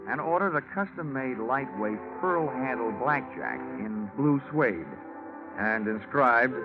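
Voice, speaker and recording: An actor playing a wisecracking, laconic American insurance investigator narrating his case in 1940s radio detective style. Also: medium pitch. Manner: wry, laconic, hard-boiled. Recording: muffled vintage radio recording with music.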